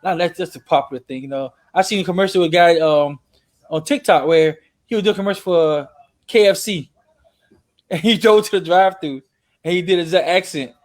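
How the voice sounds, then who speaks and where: A man co-hosting a podcast, telling stories in an animated way and laughing through them. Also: high-pitched, fairly quick. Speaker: podcast co-host. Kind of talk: casual storytelling.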